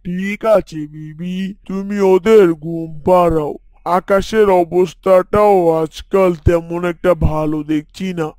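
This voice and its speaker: High-voiced man acting a village fisherman father. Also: fairly even intonation, measured pace, a little mellow, dry recording. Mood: gentle, caring. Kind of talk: dubbed moral story cartoon acting.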